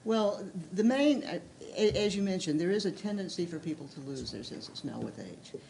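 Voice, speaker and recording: A woman researcher answering a panel question in a careful, halting way, with fillers and restarts. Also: fairly low pitch, expressive, vocal fry, noisy background. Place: hospital symposium hall.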